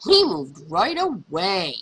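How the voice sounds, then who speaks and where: A woman reading a children's story aloud in an expressive, dramatic manner, stretching words for emphasis. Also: on the low side, slow pace, breathy edge. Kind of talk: expressive read-aloud narration for young children.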